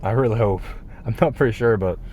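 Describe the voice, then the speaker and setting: A man's voice: fairly low pitch, fairly quick, narrow, tinny audio, slight background noise. A man whose first language is Japanese rambles casually to his vlog audience in slangy, non-native English. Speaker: vlogger.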